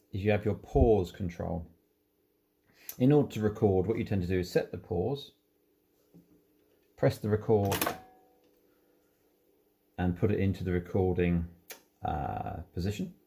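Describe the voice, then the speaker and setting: A man's voice: low-pitched, vocal fry, background noise. A man giving an informal hands-on product walkthrough, explaining things unhurriedly, step by step. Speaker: presenter demonstrating audio equipment.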